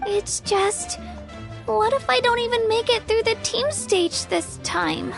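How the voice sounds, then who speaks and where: A woman's voice: very high-pitched, slow pace, vocal fry, full character voice. A woman voicing an earnest, self-doubting young girl warrior in a video game, with a childlike voice. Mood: anxious. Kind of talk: video game character acting. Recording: music behind the voice.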